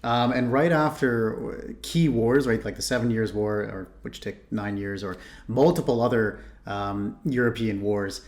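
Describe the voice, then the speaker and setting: A man's voice: on the low side, a little slow, hardly any pauses, darkish tone. A man from Ontario hosting a reaction video, chatting casually and thinking aloud with frequent restarts. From Canada (Ontario). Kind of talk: casual commentary.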